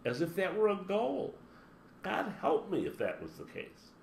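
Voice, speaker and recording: A man's voice: sits high, wildly swooping pitch, measured pace, gravelly. A man giving a motivational talk as a spiritual teacher, speaking emphatically and running through long spoken lists. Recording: roomy echo with faint background hum.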